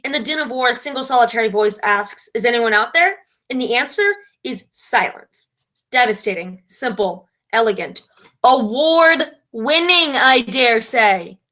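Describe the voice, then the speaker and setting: Woman acting a pompous, high-pitched, bright-voiced genius director. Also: lively intonation, unhurried. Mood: grandiose. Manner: grandiose, imperious, theatrical. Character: pompous, domineering female radio playwright-director, self-declared genius. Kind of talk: theatrical comedy acting.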